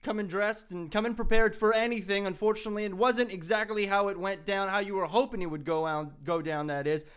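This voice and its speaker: A man's voice: high-pitched, steady tone, brisk, few pauses, fairly bright tone, smooth. A man playing it straight as a mock red carpet correspondent, with a polished interviewer manner. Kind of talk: comedic interview.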